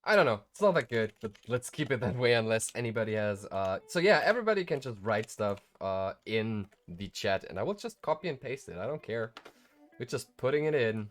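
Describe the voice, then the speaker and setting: A man's voice: medium pitch, sing-song, brisk, faint music behind. A tired man hosting a casual live tutorial, thinking aloud in a rambling, self-deprecating way. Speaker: livestream host.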